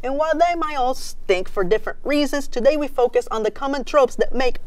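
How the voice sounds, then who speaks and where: A man's voice: extremely high pitch, brisk. A man hosting a comedic scripted rant as a video game movie reviewer, exasperated, emphatic and sarcastic.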